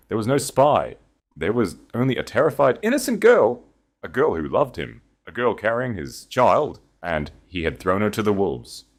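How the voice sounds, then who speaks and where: A man reads a historical romance audio story with a dramatic, measured delivery. His voice is very deep and low. The narration is scripted and steady, built for listening.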